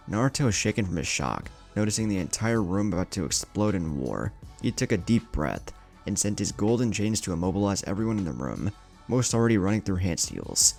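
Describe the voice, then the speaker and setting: A man's voice: on the low side, vocal fry, a little mellow. A man narrating an anime fan story in a dramatic scripted style.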